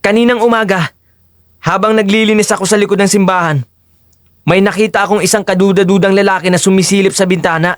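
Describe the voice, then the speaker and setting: A man's voice: high-pitched, fairly even intonation, fast talker, dry recording, studio quality, close to an everyday voice. A man playing a loyal, anxious male friend in an audio drama, speaking in an agitated, earnest way. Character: Carlito, loyal anxious young male friend working at church.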